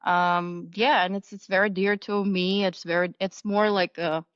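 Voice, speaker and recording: A woman's voice: medium pitch, steady tone, unhurried, smooth, no echo, highs cut off. A woman who founded a skincare brand, talking as a podcast guest in a hesitant, enthusiastic way with many fillers and restarts. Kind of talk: casual interview chat.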